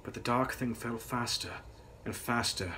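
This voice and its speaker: A young man giving a measured, theatrical reading of gothic fiction as its author. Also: mid-range voice, steady tone, pushed and bright, a little hiss or hum, rough recording. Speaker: author reading own novel. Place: dark indoor room, on camera.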